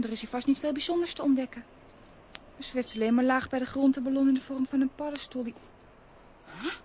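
An actress playing a feisty, bold, impulsive young girl in a children's radio play, excitable and pert. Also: high voice, a little hiss or hum.

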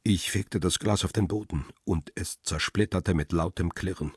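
An actor playing a world-weary photographer who narrates his ordeal in a radio crime play, sombre and deliberate. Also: deep voice, breathy edge, dry recording, studio quality. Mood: sombre, intense. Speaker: first-person narrator. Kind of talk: dramatic scripted narration.

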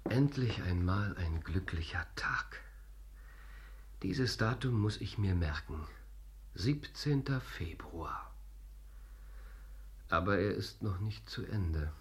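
An actor playing a passionate, tormented middle-aged theatre director in a German radio play, with a husky, hushed intensity. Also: male voice, low-pitched, animated, slow, pauses often, a little hiss or hum. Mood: resolute.

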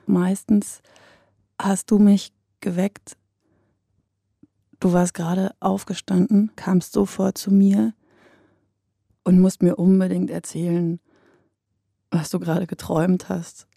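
Mellow, creaky-voiced woman narrating intimately. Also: lowish voice, level tone, slow pace, pauses often, no room sound, studio quality. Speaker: narrator of an audio feature.

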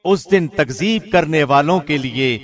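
A man reads translated scripture from a script. His delivery is formal, measured and solemn, in the manner of a radio presenter. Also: fairly high pitch, faint music behind. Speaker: radio host reading Quran translation.

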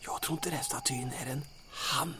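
A man's voice: mid-range voice, lightly acted. A man playing a nervous, reluctant soldier sidekick in a comic audio drama, wry and protesting. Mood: nervous, hushed. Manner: wry, protesting, often hissed whisper or yelled.

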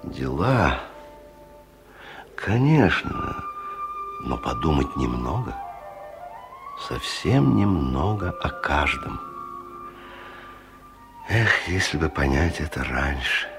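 An actor playing a grave, reflective inner voice in a radio play, measured and gently reproachful. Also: male voice, very deep voice, huge pitch swings, exaggerated voice. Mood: gentle reproach. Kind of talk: theatrical radio play monologue. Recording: music under the voice. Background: music.

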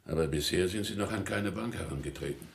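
An actor playing a middle-aged, no-nonsense business researcher in a radio drama. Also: male voice, deep voice, quick pace, gravelly. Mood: businesslike. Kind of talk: 1980s radio drama dialogue.

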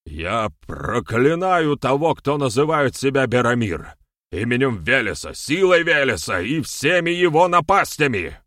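A man's voice: sits low, expressive, drawn-out words, pushed and bright, no echo, studio quality, exaggerated voice. A man voicing a spiteful, cowardly pagan sorcerer in an audiobook, indignant and blustering. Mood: cursing.

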